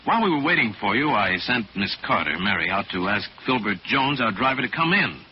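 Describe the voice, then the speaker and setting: A muffled, noisy old radio recording of a male crime-solving mystic hero. He is composed and authoritative, with a shrewd, deliberate delivery and lively swings in pitch. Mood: calm, analytical. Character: calm, shrewd gentleman crime-solver, exotic mystic hero. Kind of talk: 1940s radio drama acting.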